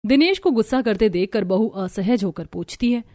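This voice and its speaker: A woman's voice: medium pitch, animated, normal speed, mellow. A woman reading scripted narration for a Hindi cartoon family story.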